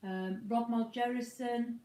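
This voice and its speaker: A woman's voice: a little high, fairly even intonation, a little slow. A woman with a cold and a slightly snotty voice, chatting casually to viewers at home on a livestream. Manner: chatty, rambling, warm greetings to viewers. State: has a cold, a bit snotty. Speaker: co-host of a motorhome live chat. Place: at home, indoors. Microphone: iPad. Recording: roomy echo.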